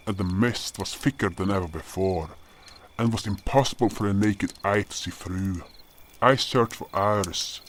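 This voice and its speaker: Gentle old guardian spirit played by a man, low register, measured and earnest fantasy storyteller, crickets and noise behind. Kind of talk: audio drama first-person narration and dialogue. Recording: noisy track with cricket ambience. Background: crickets and outdoor night ambience.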